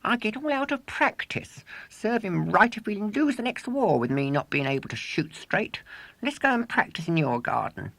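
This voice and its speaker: A man's voice: high voice, very animated, moderate pace, vocal fry, a bit bright, no room sound, pitched above the performer's own voice, exaggerated voice. A man voicing an indignant, grumbling English schoolboy in a children's storybook reading. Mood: aggrieved. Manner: petulant complaining.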